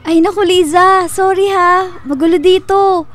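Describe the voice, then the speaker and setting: A gushing, high-voiced female family friend. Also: steady tone, a little slow, faint music behind. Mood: gushing, smug. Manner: gushing, breezy, over-sweet. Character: chirpy, ingratiating female family friend, subtly possessive. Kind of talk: radio drama acting.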